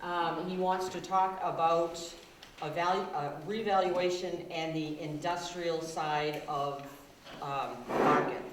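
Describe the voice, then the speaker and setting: A low-pitched woman speaks loudly and projects with confidence as she leads a town workshop. She is recorded from well off the mic, in a very echoey meeting room with faint background noise. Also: fairly even intonation, slow, far from the mic. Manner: loud, projecting, self-assured. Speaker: town manager leading a workshop. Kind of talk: public meeting talk.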